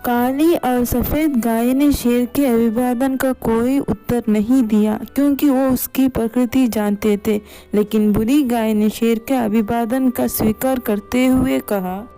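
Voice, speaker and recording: A woman's voice: on the high side, fairly even intonation, a little slow, mellow, rough recording. A woman narrating a scripted moral story as a storyteller. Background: background music.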